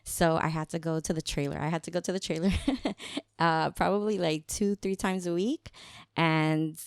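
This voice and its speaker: A woman's voice: deep voice, fairly even intonation, normal speed, creaky, smooth, no room sound, studio quality. A woman, chatting casually as a podcast guest, often laughing and slipping in Spanish phrases. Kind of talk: casual conversation.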